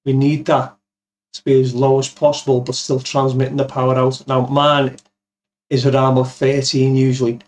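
A man's voice: mid-range voice, monotone, normal speed. A man in his fifties giving an informal, step-by-step tutorial in a plain-spoken way, close on a studio mic. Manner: step-by-step, plain-spoken, addresses viewers as guys. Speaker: amateur radio video presenter. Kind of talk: informal software tutorial with demonstration. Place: small cluttered home office. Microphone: boom-arm studio mic with pop filter, close.

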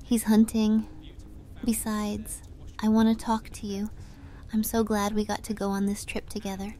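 A woman's voice: medium pitch, creaky, lightly acted. A woman playing a kind, gracious sorceress with a soothing manner in a fantasy audio drama. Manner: gentle, soothing.

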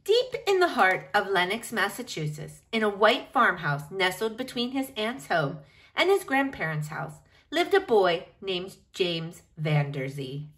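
A woman reading a picture book aloud to children in an expressive, animated way, speaking directly to her young listeners. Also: medium pitch, unhurried.

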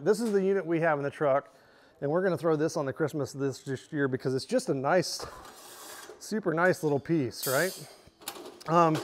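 A man in his thirties casually walking through products in a large indoor showroom, chatty with lots of "um" and "right?". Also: a little high. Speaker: store product presenter.

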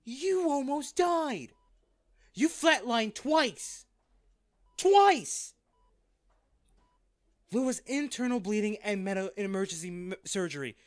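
A man playing a wealthy, devoted, wounded lover in an intimate, halting audio drama. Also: very high voice, animated, dry recording, clean studio sound, exaggerated voice. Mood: anguished, furious. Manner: slow, halting, emotional delivery. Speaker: voice actor in an audio roleplay.

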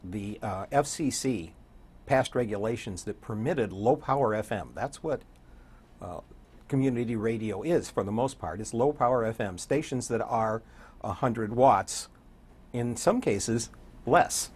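An older man talking conversationally as an interview guest, a radio DJ with a husky voice. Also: mid-range voice, unhurried, a little mellow. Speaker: guest, radio program director and morning show DJ. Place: indoor TV talk show studio.

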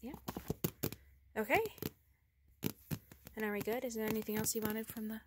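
A woman speaking softly and often whispering in a relaxed ASMR girlfriend roleplay, recorded indoors among bookshelves on a handheld phone. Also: fairly high pitch, normal speed, noisy background. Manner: soft-spoken, drifting into whispers.

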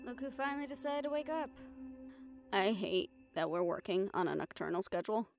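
A woman's voice: mid-range voice, a little fast, vocal fry, faint music behind. A woman performing an audio roleplay, talking in casual, profane, wry banter.

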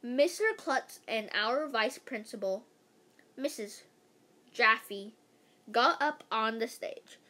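A female voice giving an animated read-aloud of a children's book, stumbling over words now and then and adding casual asides. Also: a little high, slow pace.

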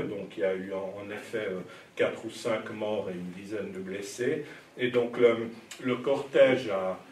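Echoey, low-voiced middle-aged man lecturing. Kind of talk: public history lecture, semi-scripted storytelling. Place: lecture hall, at a lectern. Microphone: gooseneck lectern microphone, some distance from mouth. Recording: echoey hall, distant mic, faint noise.

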